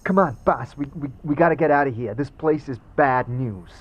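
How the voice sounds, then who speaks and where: An actor playing a frantic, stammering skull sidekick, pleading and slangy, with a husky voice in a fantasy audio drama. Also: male voice, mid-range voice, normal speed, highs cut off, a little hiss or hum, lightly acted. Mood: panicked, pleading. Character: panicky, pleading wisecracking floating skull sidekick.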